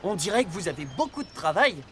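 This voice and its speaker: Upbeat, high-pitched cartoon police car. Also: male voice, very animated, quick pace, slight background noise, heavily acted. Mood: friendly. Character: upbeat, helpful rescue police car hero. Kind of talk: children's animated series dub. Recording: loud music and vehicle sounds behind.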